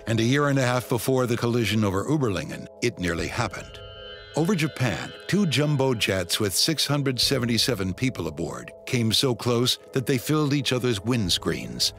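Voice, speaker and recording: A man's voice: medium pitch, big pitch swings, normal speed, fairly bright tone. A man reading scripted, dramatic narration as the narrator of a documentary. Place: voice-over booth. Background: background score music.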